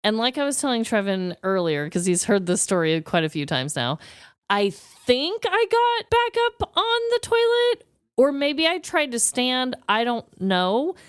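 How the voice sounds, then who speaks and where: Expressive woman with a clear, high voice. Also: unhurried, dry recording, studio quality. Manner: expressive, candid, swears freely. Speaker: podcast co-host. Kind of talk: casual conversational storytelling.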